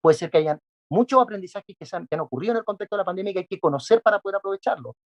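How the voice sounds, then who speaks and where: A man's voice: sits high, lively intonation, racing, lo-fi sound. A man, an education specialist, answering interview questions methodically, listing his points with frequent fillers. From not Peruvian.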